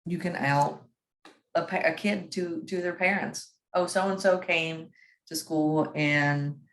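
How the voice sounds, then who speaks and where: A woman's voice: sits low, fairly even intonation, slow, echoey room, low-quality recording. A woman moderating a class discussion forum on a video call, talking conversationally and often checking in with "right?".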